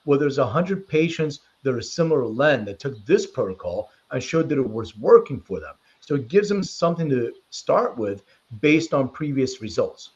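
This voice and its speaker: A man's voice: mid-range voice, sing-song, normal speed, few pauses, darkish tone, muffled recording. A man from Lithuania, raised in Philadelphia, speaking as an interview guest in an informal, explanatory, anecdotal way. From born in Lithuania, raised in Philadelphia from age six. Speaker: interview guest, precision medicine company leader. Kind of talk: conversational interview answers and personal storytelling.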